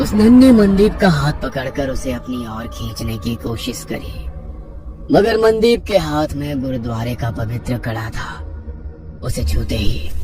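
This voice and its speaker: A man's voice: medium pitch, far from the mic. A man narrating a scripted horror story as the storyteller.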